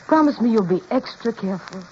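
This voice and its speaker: A woman's voice: a little high, animated, brisk, a little mellow, close to an everyday voice. An actress playing an anxious, tearful, doting mother of a grown son in a 1940s radio drama. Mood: anxious, pleading. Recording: muffled, noisy vintage radio broadcast.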